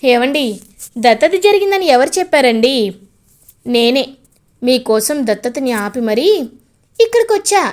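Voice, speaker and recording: A woman's voice: high-pitched, very animated, measured pace, long pauses. A woman voicing an earnest young woman who reassures and pleads. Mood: reassuring.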